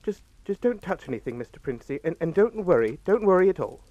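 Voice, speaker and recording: An actor playing a courteous, unhurried police desk sergeant in a suspense drama, official and deferential on the telephone. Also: male voice, sits high, sing-song, narrow, tinny audio. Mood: official, puzzled. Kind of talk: radio suspense drama acting.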